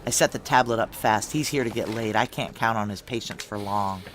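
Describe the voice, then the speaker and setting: An actor playing a determined, tense security chief, narrating a noir audio drama in a clipped, urgent, hard-boiled manner. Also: male voice, lowish voice, fairly quick, a bit bright, a little hiss or hum, close to an everyday voice. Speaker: narrator and lead character.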